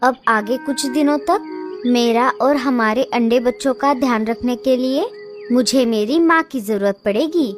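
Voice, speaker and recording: A young expectant sparrow pleads with her husband in a very high, light voice, voiced by a woman. She sounds anxious, and soft cartoon music plays behind her. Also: measured pace, natural voice. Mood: pleading.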